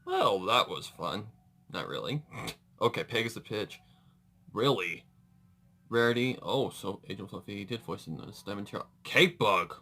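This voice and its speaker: A man's voice: on the low side, animated, measured pace, pushed and bright. A man hosting a reaction video with casual, rambling, exclamatory commentary.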